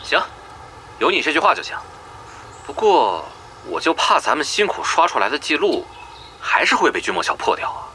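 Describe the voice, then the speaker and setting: A man's voice: fairly high pitch, big pitch swings, normal speed, sharp tone, soft background music. A man playing a wary, shrewd online game guild leader in an audio drama.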